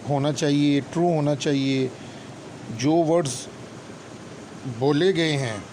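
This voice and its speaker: A man with a Pakistani accent giving an explanatory law lecture, deliberate and instructional, mixing in English legal terms. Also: on the high side. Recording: clear steady background noise, hum.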